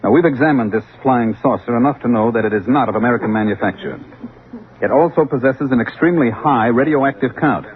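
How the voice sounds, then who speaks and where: An actor playing a stern, authoritative security agent in a vintage radio drama, clipped, insistent and official. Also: male voice, mid-range voice, fairly quick, lightly acted. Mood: stern interrogation. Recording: tinny, noisy vintage radio recording.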